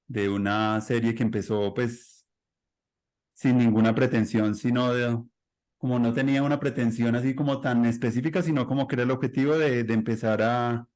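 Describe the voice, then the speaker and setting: A man's voice: mid-range voice, a little mellow. A man hosting a conversational podcast, introducing his guest in a loose, rambling way. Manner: rambling, loose, with fillers and restarts. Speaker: podcast host. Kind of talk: casual interview intro.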